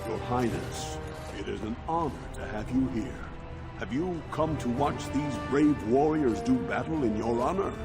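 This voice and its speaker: An actor voicing a proud, formal dwarven proving master who announces in a grandiose, declamatory way for a fantasy game. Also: male voice, medium pitch, moderate pace, lightly acted. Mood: deferential. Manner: grandiose, declamatory, deferential to nobility. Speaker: arena announcer. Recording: loud music behind the voice. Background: loud game music.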